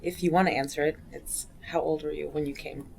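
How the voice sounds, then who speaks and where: A woman interviewer gently asking questions in an oral history interview. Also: sits low, steady tone, brisk, creaky, a little hiss or hum. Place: community center room.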